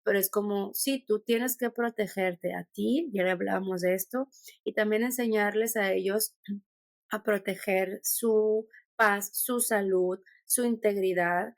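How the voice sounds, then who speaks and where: Mexican woman rambling thoughtfully on a podcast, bit of vocal fry, dry close mic. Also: mid-range voice, slow pace, dry recording. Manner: thoughtful, meandering, with many restarts and fillers. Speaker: podcast guest. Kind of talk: conversational podcast interview.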